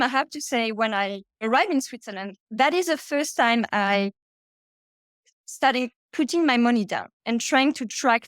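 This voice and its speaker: A woman's voice: high voice, no echo. A woman in her thirties with a French accent, a podcast guest telling her story in an open, chatty way. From France. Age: 30s. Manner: chatty and open, with frequent fillers and asides. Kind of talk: casual conversational storytelling.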